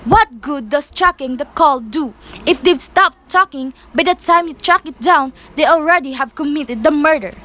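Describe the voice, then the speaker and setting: Young woman playing a very high-voiced, fretful invalid wife. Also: moderate pace, a bit bright. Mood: demanding, agitated. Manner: fretful, insistent, imperious. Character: anxious, demanding invalid wife, easily exasperated. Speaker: student performer in a school radio play. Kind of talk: amateur radio-play acting.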